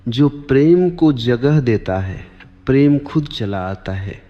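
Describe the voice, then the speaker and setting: Guy doing a really slow sleep meditation talk, short repeated phrases, dull lo-fi sound with reverb. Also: mid-range voice, lively intonation. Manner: deliberate, short measured phrases with repetition. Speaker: spiritual teacher. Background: clear background music.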